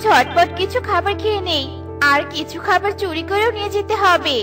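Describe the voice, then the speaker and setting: A woman's voice: very high voice, fairly quick, music in the background. A woman voicing a lazy, selfish, greedy female crow in a cartoon, with a brusque, scheming manner. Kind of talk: Bengali cartoon voice acting.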